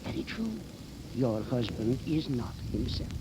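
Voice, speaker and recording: A man playing a sinister, proud sorcerer-professor of old-time radio horror. He speaks very slowly and ominously, as if watching in a trance. The recording is an old, clearly noisy broadcast. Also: medium pitch, expressive, close to an everyday voice. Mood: ominous, entranced. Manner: slow, ominous, theatrical trance-like narrating. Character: sinister, proud male professor casting a deadly spell. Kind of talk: 1930s radio horror acting.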